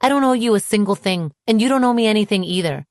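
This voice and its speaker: A woman's voice: on the low side, lively intonation, moderate pace, no room sound, studio quality, close to an everyday voice. A woman voicing a wounded, indignant young woman in an audiobook, with an emphatic, emotionally charged delivery. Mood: bitter, furious.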